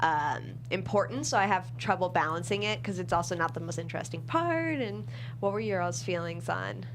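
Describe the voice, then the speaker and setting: Low-voiced woman chatting casually. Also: expressive, moderate pace, background noise. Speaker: guest screenwriter. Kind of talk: casual panel chat.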